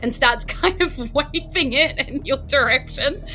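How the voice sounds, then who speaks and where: A woman's voice: sits high, measured pace, sharp tone, soft background music. A woman with a New Zealand accent improvising narration as game master of a tabletop role-playing game.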